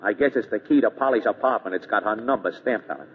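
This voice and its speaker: An American actor playing a hard-nosed police detective with clipped, slangy talk in an old-time radio drama. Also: male voice, lowish voice, quick pace, a little hiss or hum, natural voice. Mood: matter-of-fact. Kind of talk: 1930s-1940s radio drama acting. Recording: tinny, reverberant old radio recording.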